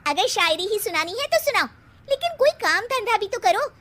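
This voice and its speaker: Woman acting a squeaky, scolding cartoon wife. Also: very animated, normal speed, bright, forceful tone, heavily acted. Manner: nagging, scolding. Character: sharp-tongued young wife, scolding and exasperated.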